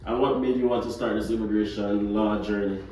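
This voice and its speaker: A man's voice: lowish voice, fairly even intonation, brisk, mellow. A man with a Jamaican accent from Jamaica, hosting a casual, joking interview chat. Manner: friendly, joking. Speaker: host. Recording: echoey room, far from mic.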